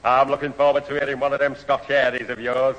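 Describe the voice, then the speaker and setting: An actor playing a jovial, pretentious pub crony who mangles big words in an old radio sitcom. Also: male voice, medium pitch, little pitch movement, fast, a bit bright, close to an everyday voice. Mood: coaxing. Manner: jovial, malapropism-prone. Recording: dull, noisy old radio recording, echoey hall.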